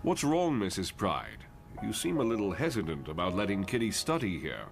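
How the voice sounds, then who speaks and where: A man's voice: on the low side, big pitch swings, normal speed, gravelly. A man voicing a polite, composed professor and school head in a motion comic, formal in manner. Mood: courteous. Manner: courteous, formal.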